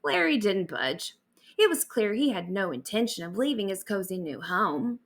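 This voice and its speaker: A woman narrates a bedtime story with a very animated, swooping delivery. She reads expressively, as a storyteller sharing a tale aloud. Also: medium pitch, normal speed.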